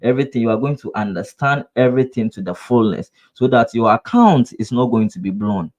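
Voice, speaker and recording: This is a man with a Ghanaian English accent who runs a live trading webinar. His voice sits at an ordinary middle pitch. He addresses his viewers directly and earnestly. He often circles back on phrases and poses rhetorical "what?" questions. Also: animated, a little fast, a little mellow. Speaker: trading instructor.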